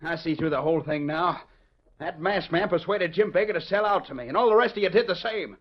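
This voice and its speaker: Male actor, furious commanding rancher, high voice, defiant schemer, old western radio play, muffled lo-fi sound. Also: a little fast, close to an everyday voice. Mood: angry defiance. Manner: blustering, commanding, folksy western phrasing. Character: angry, stubborn cattle boss, a defiant schemer. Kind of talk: 1940s western radio drama acting. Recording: dull, lo-fi old radio recording.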